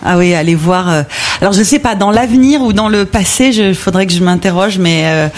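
Female guest, mid-range voice, thoughtful and halting. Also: medium pitch. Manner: thoughtful, hesitant, many fillers. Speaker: actress guest. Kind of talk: casual chat.

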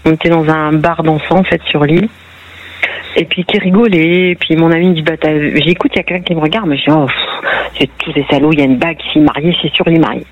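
A woman's voice: low-pitched, fairly even intonation, fast talker. Chatty woman from northern France, muffled line. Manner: chatty, tells story with quoted dialogue. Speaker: caller. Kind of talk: phone-in storytelling. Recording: muffled phone-in line on radio.

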